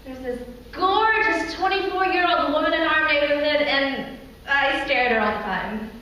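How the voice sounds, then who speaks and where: Actress as hurt girlish narrator, theatrical monologue, high voice, earnest emphatic storytelling, distant echoey recording. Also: normal speed, bright, forceful tone, muffled recording. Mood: tender recollection. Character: woman reliving girlhood memories, childlike, hurt and earnest. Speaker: stage performer. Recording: echoey hall, distant mic, faint noise.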